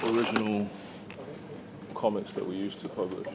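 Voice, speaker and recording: A man who runs a comics company, explaining its history in a measured, casual way. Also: on the low side, flat delivery, creaky, heavy background noise. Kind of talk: casual interview answers. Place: busy studio workspace. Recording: crowd chatter behind.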